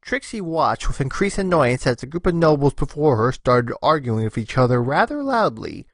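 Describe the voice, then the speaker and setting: A man's voice: fairly high pitch, dry recording. Male narrator for a scripted fan tale; very varied intonation, dry booth-like sound.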